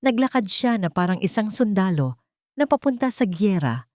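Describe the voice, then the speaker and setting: A woman's voice: medium pitch, sing-song, moderate pace, darkish tone, no echo, studio quality. A woman with a Filipino accent reading a scripted, dramatic story as a narrator.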